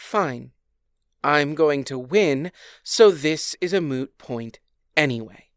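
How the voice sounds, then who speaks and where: A woman playing a sardonic fairy queen in a modern comedy audio drama, deadpan and taunting toward her husband. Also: deep voice, slow, dry recording, clean studio sound. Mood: cool defiance. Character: cool, sardonic fairy queen, teasing wife.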